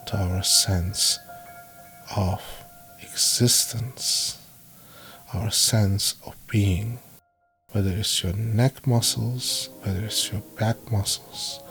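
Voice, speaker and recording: Slow, low-voiced man guiding meditation. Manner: measured, instructive, with frequent pauses between phrases. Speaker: guided meditation narrator. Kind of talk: guided meditation, hypnosis narration. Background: background music.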